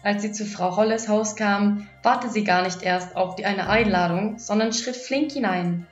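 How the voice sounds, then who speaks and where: A woman's voice: medium pitch, moderate pace. Female audiobook narrator for children's fairy tales; roomy, lo-fi sound with music underneath. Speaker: audiobook storyteller. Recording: echoey, dull lo-fi, music behind.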